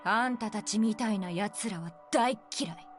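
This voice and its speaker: A woman's voice: mid-range voice, fast, natural voice. A woman voice-acting a hostile, scornful young woman in a Japanese visual novel, taunting and contemptuous. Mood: bitter contempt. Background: game music.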